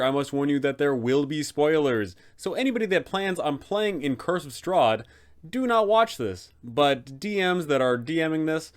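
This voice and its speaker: A man hosting a tabletop game guide, narrating like a game master with theatrical, drawn-out emphasis. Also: medium pitch. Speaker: host, game master giving advice.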